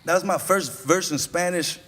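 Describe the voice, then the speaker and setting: A man's voice: high voice, normal speed, a bit bright. A man who is a rapper guest chatting casually on a podcast, telling stories in a loose, slangy way with lots of restarts. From United States.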